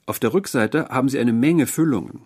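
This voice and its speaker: A man's voice: mid-range voice, fairly quick, darkish tone, slightly rough, voice pushed up. A man voicing a polite, earnest seventeen-year-old boy in an audiobook story, puzzled and eager as he searches for words. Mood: curious, impressed.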